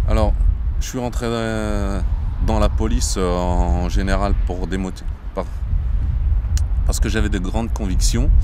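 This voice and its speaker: A man in his thirties from Morocco, a border police captain, speaking in a measured interview with frequent hesitations. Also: lowish voice, background noise. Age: 30s. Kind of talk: interview monologue. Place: outdoors near traffic.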